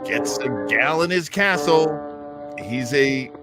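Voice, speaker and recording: A man's voice: fairly high pitch, slow pace, over loud music. A man hosting an old-time radio show, chatting casually and enthusiastically to his audience in a rambling, conversational way. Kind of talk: casual chat to a live audience.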